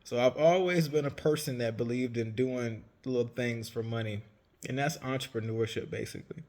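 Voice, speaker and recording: A man's voice: medium pitch, moderate pace, darkish tone. A man in his late twenties, raised in Savannah, Georgia, talking casually and rambling, often correcting himself. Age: 20s. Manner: rambling, self-correcting, chatty. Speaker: vlogger, entrepreneur. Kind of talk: casual storytelling monologue.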